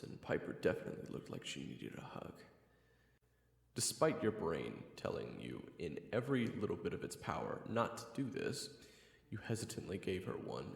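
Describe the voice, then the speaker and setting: A male narrator tells a scripted story in a deep, gravelly voice. His delivery is expressive, and the recording has long, echoey reverb with music behind.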